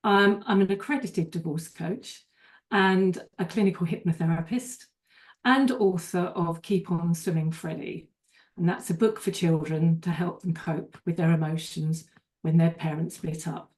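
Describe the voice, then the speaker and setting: Low-voiced older woman presenting a webinar. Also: fairly even intonation, measured pace, darkish tone. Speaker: divorce coach.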